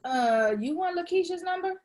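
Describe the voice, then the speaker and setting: A woman's voice: extremely high pitch, normal speed. A woman reading the part of a playful, slangy young woman in a comedy script table read. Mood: teasing. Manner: slangy, animated reactions. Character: Issa, playful broke young woman, teasing and casual.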